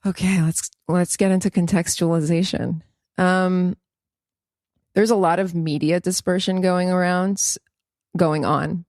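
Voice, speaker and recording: A woman's voice: on the low side, fairly even intonation, a little slow, a little mellow, no room sound, studio quality. A woman from Israel co-hosting a podcast, explaining at length in an earnest, rambling way with restarts. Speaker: podcast co-host. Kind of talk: casual podcast chat.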